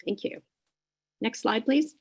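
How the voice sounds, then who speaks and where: A woman giving a prepared presentation at a committee meeting, speaking in a measured way with only a few "um"s. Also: fairly high pitch, breathy edge. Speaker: presenter at a bar subcommittee meeting.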